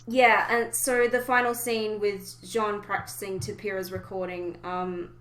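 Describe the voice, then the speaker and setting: A woman hosting a casual fan discussion, talking emotively with hesitant pauses as she thinks aloud. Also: mid-range voice, flat delivery, fairly bright tone, rough recording. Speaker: podcast host.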